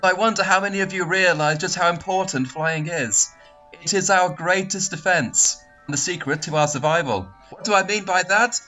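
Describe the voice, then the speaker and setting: A performer playing a stern older male dragonfly teacher giving a measured lecture in a fan script reading. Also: medium pitch, bright, forceful tone, soft background music, lightly acted, poor audio.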